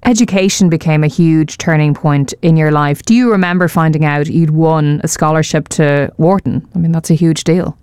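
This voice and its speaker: Low-voiced woman interviewer, crisp and dry. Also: moderate pace, few pauses, darkish tone, no room sound. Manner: direct, prompting questions. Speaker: interview host. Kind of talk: interview questions.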